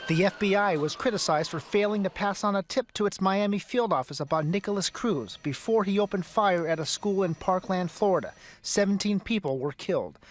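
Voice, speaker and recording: A man reading a scripted crime report for a local TV newscast, measured and clipped. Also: high voice, a little hiss or hum. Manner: measured, clipped news delivery.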